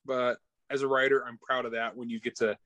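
A man's voice: mid-range voice, level tone, brisk, a bit bright, dry recording. A man speaking as a guest author in a casual interview, informal and slangy, often restarting his sentences. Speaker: guest author discussing own book.

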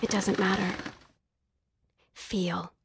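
A woman's voice: medium pitch, little pitch movement, extremely slow, gravelly, a little hiss or hum. An actress playing a frank, wry young noblewoman and tender wife in an audio drama, with a direct way of talking. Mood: intimate.

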